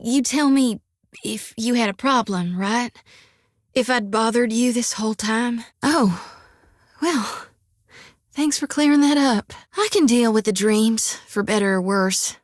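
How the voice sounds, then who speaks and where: A woman's voice: fairly high pitch, dry recording, clean studio sound. An actress voicing a friendly, folksy young woman priest in a video game, with a drawl and a chatty, earnest manner. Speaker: game companion character.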